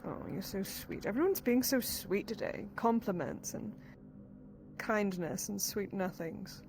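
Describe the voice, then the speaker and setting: A woman's voice: mid-range voice, animated, a little slow, a little mellow, slight background noise. A woman playing a wry, foul-mouthed, wary female fighter for hire in live fantasy roleplay. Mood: smug. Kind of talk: live improvised fantasy roleplay in virtual reality. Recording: clear background music behind the voice.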